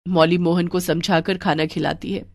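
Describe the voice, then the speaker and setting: A woman's voice: on the low side, flat delivery, normal speed, no room sound. Woman narrating a moral story.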